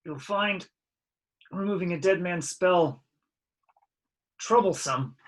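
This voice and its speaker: A man playing a composed, haughty wizard in an amateur table read, measured and dryly defiant. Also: high-pitched, fairly bright tone, exaggerated voice.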